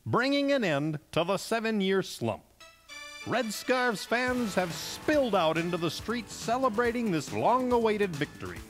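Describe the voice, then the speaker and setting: A man playing a grandly earnest puppet sports reporter, with dramatic announcer phrasing in a mock newscast. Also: high voice. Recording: long hall reverb, music behind.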